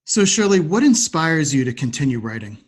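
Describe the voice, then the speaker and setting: A man hosting a conversational interview, agreeable and measured, with slightly hesitant phrasing. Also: fairly high pitch, very animated, husky. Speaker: podcast host.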